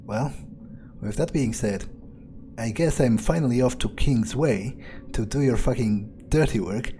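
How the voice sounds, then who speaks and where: A man improvising in-character game commentary as a scheming vampire, musing aloud in a deliberate, self-assured way. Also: mid-range voice, mellow, slight background noise. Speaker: gamer playing in character. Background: faint game music.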